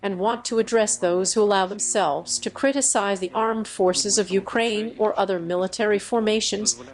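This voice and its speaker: A synthetic woman's voice reading a machine-translated voice-over dub with stiff, even phrasing and odd word choices. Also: medium pitch, normal speed. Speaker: AI dub voice for every speaker.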